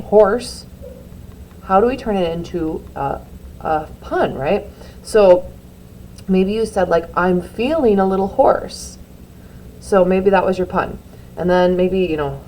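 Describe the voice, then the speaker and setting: A woman's voice: medium pitch, a little mellow, a little hiss or hum, poor audio. A woman teacher explaining a drawing assignment to her students in a chatty, encouraging way, with lots of filler words. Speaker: art teacher. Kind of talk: classroom instruction video.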